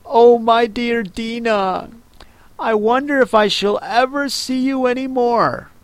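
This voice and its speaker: Eager little girl done by a male reader, high lifted voice, dry close sound. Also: lively intonation, takes it slowly, no room sound, full character voice. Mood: sad. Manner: eager, pleading. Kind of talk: audiobook character voice.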